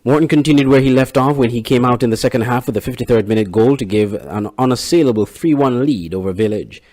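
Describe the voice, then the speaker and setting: A man, reporting sports and local news in a steady, measured read. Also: medium pitch. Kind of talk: scripted news reading.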